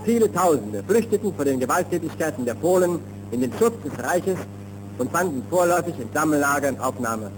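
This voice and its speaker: 1930s newsreel man, fairly high voice. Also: animated, a little fast. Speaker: newsreel narrator. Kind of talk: scripted 1930s newsreel narration. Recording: noisy, humming 1930s newsreel soundtrack.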